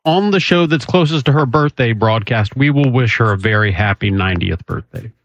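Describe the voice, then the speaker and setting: A man hosting a call-in radio talk show, brisk, chatty and joking. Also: mid-range voice, expressive, no room sound. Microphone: studio microphone. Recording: slightly dull broadcast audio.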